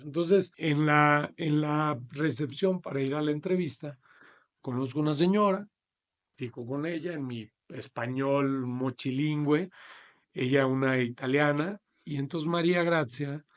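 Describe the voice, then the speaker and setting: A middle-aged Mexican man with a Mexican accent, a podcast guest telling his life story in an animated, slangy way. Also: mid-range voice. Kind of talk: storytelling in casual interview.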